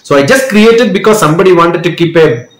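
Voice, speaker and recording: A man speaks in a slightly raised register, giving long, thoughtful answers and pausing to restart his phrases now and then. His voice carries a noticeable room echo. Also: sits high, lively intonation. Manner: measured and thoughtful, explains at length with small restarts. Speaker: guest, educator and speaker. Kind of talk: reflective interview answers. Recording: roomy echo.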